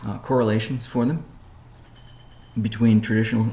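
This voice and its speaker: A man giving a measured, businesslike update on a conference call, pausing between phrases as he reads out points and answers questions. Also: lowish voice, fairly even intonation, mellow. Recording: noisy conference call audio.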